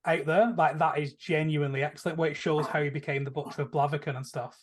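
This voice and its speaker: A man's voice: on the high side, fairly even intonation, quick pace. A man giving his opinions in a casual film and TV podcast chat. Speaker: podcast panelist.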